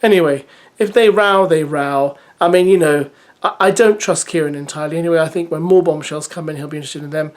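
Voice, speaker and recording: A vlogger recaps a dating show. The delivery is chatty and opinionated, with exasperated asides. The voice sits in a middling pitch. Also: quick pace. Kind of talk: reads an episode preview, then chats with opinions.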